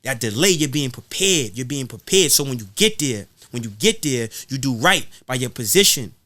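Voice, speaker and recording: A man's voice: mid-range voice, sing-song, normal speed, pushed and bright, no room sound. A man speaking in an impassioned, preachy podcast style, repeating phrases for emphasis. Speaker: guest rapper being interviewed.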